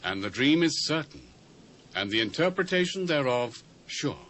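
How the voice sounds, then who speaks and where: A man's voice: medium pitch, very animated, moderate pace, fairly bright tone. An actor with a British accent playing a solemn prophet who declaims grave, archaic pronouncements to a king in a dramatised scripture reading. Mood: grave, declaiming. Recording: noisy old cassette dub.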